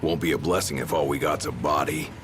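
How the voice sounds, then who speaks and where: A gruff, wary companion in a video game. His voice is extremely low, with casual, dry grumbling over music. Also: brisk. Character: gruff, plain-spoken big man, wary travelling companion.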